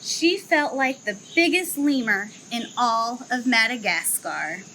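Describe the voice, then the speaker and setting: A young woman who works at a zoo reads a children's picture book aloud outdoors, in an expressive storytelling manner. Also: fairly high pitch, slight background noise, low-quality recording. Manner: slow, expressive storytelling, livelier chat afterward. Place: outdoor zoo enclosure with trees.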